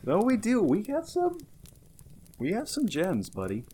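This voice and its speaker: A man's voice: high voice, wildly swooping pitch, measured pace, mellow, slight background noise. A man co-hosting a podcast in casual chat, upbeat and rambling, full of filler words. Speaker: podcast co-host.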